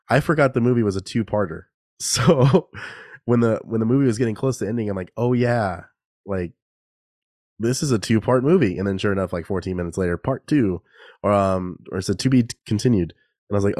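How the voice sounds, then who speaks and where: Stuffed-up young guy rambling on his podcast, low voice, dead dry mic, keeps laughing at himself. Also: a little mellow, no room sound. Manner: rambling, self-deprecating, laughs often. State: congested and nasal from a cold. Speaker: podcast host. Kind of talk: casual solo podcast chat. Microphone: new podcast microphone.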